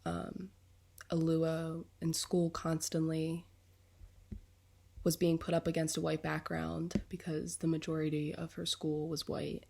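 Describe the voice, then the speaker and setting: A woman's voice: low-pitched, monotone, a little slow, creaky, dark tone. A young woman who is sick and congested, speaking earnestly as a student vlogger reflecting on a class reading, with frequent ums.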